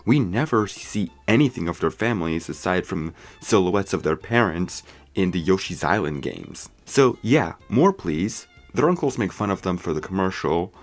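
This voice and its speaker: A man's voice: sits low, expressive, normal speed, hardly any pauses. A man narrating a scripted movie review as commentary. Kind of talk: scripted video essay commentary.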